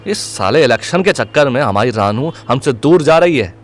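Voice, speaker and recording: A man's voice: medium pitch, lively intonation, moderate pace, lightly acted. A man playing a cocky, crude young student election leader in an audio drama, slangy and swaggering. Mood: determined, brash. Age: young adult. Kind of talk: Hindi audio drama acting. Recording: vehicle noise behind the voice.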